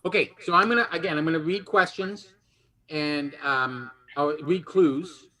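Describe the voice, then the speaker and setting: Male game show host, high and bright voice, chatty, casual, rephrasing as he goes. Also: measured pace, poor audio.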